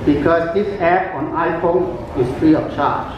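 Brief: older man, Cantonese first language, high voice, chatty stand-up through an echoing ship PA, taped from far back. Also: muffled recording, noisy background. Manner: chatty joke-telling with frequent pauses and fillers. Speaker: talent show contestant. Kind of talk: stand-up comedy. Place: large atrium on a cruise ship. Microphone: handheld microphone through a PA, filmed from afar. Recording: booming PA echo from a distant camera.

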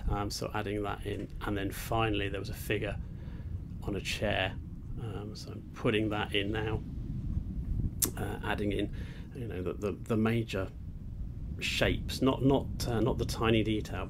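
A man's voice: on the low side, little pitch movement, moderate pace, gravelly, background noise. A man explaining an ink sketching demonstration outdoors on a beach, talking hesitantly with many fillers and restarts. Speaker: art teacher. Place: outdoors on a beach, seated on rocks.

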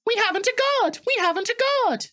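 A woman voicing two female railway coaches in a storybook, chanting in a shrill sing-song. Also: quick pace, fairly bright tone.

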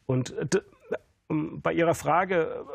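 A man's voice: fairly high pitch, slow pace, vocal fry. Hesitant, earnest man in a TV studio. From Germany. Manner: earnest, hesitant, many fillers and restarts. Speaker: guest photographer. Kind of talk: studio interview, thoughtful answers.

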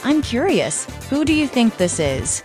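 A woman's voice: a little high, sing-song, a little slow. A woman reading scripted quiz narration as the host. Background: music.

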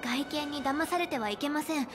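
A woman's voice: high voice, fairly quick, close to an everyday voice. A woman voicing a polite, earnest, studious young female officer in a Japanese anime game, speaking formally and carefully. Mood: cautioning, serious. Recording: clean studio voice over game music.

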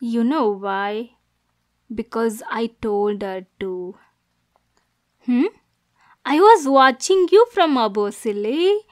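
Casting: woman to play jealous yandere girlfriend for ASMR roleplay; high voice, slow delivery, menacing yet cooing, possessive. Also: lively intonation. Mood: possessive, softly menacing. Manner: swings between soft cooing and angry, degrading outbursts. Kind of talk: ASMR roleplay, dramatic monologue.

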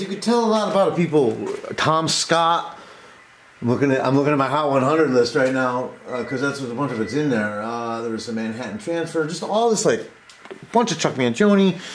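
Rambling man, noisy recording. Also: mid-range voice. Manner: rambling, self-interrupting. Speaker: host of a repair tutorial. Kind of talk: casual hands-on tutorial talk. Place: indoor workbench. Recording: noticeable steady background noise.

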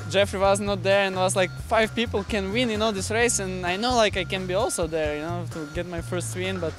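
High-voiced young man, chatty rider. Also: a little fast, over music. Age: young adult. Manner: chatty, many you know and like fillers. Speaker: motocross rider. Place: outdoor race paddock. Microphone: handheld mic held by interviewer.

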